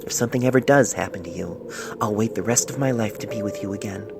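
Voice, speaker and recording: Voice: mid-range voice, fast talker, creaky, background noise, close to an everyday voice. An amateur narrator voicing a loving, sentimental pony reading out a love letter earnestly and tenderly, recorded on a phone. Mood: soft, loving.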